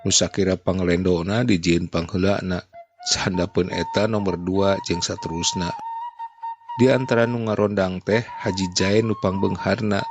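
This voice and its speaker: A man reads a classic folk tale at a steady, measured pace. His voice is low and gravelly with plenty of fry. Music plays clearly underneath. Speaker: storyteller.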